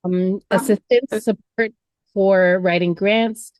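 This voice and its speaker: A woman on a video call asking grant questions for a theater group, halting, with fillers and self-corrections. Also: mid-range voice, steady tone, a little mellow, no room sound.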